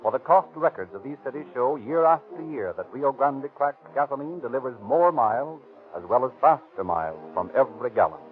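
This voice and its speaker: A man's voice: mid-range voice, lively intonation, brisk, soft background music. An American man announcing and narrating a scripted 1930s radio show in a formal, emphatic manner. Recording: tinny 1930s radio recording.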